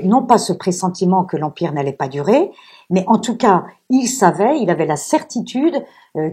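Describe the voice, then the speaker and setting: A woman with a husky voice giving an unscripted talk as a guest speaker, earnestly explaining with anecdotes and asides. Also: mid-range voice, dark tone. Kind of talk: public lecture discussion, unscripted.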